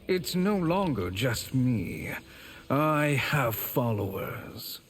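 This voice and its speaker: An actor playing a scheming, cruel male sorcerer in a video game, speaking in a slow, mocking, menacing way with a husky voice. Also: medium pitch, very animated, full character voice. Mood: sneering. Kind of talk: video game character voice acting.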